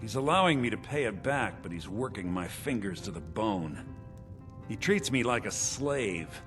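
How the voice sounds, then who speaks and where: An actor playing a bitter, scheming conspirator in a video game, talking in a sly, confiding way. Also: male voice, fairly low pitch, expressive, normal speed, natural voice. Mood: resentful. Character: bitter, scheming man, conspirator in a mead-poisoning plot. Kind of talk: video game character dialogue. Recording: game music behind the voice. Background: game music.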